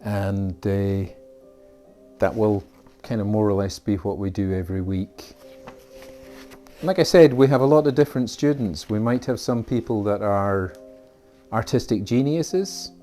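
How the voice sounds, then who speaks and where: A Scottish man introduces his art class in a slow, rambling way, full of fillers and small asides. His voice sits low and mellow. Faint music plays under his talk. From Scotland. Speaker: art teacher.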